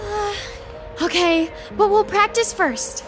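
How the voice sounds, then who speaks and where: Anxious, sensible little girl, very high voice, pleading delivery, audio adventure for kids. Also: takes it slowly, music in the background. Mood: earnest. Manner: earnest, pleading. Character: earnest, cautious, anxious young girl, the pleading sensible half. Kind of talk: scripted family audio drama.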